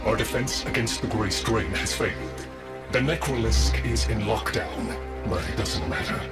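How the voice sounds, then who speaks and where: A man's voice: fairly low pitch, normal speed, natural voice. A man playing a prim, dutiful robotic servant in a video game, speaking in a formal, officious and grave manner. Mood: grave, urgent. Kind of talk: video game character acting. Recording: loud music behind the voice.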